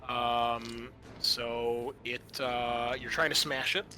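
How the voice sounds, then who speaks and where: A man's voice: medium pitch, little pitch movement, slow, sharp tone, slight background noise. A man acting as game master, narrating a tabletop roleplaying game in casual, sweary language.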